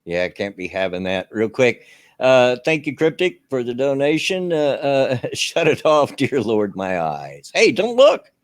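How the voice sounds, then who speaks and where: A middle-aged man with a Southern US accent hosting a casual livestream chat from his bedroom, loud and carrying, laughing often. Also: medium pitch, sing-song, unhurried, dry recording, clean studio sound. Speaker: host. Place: bedroom at home.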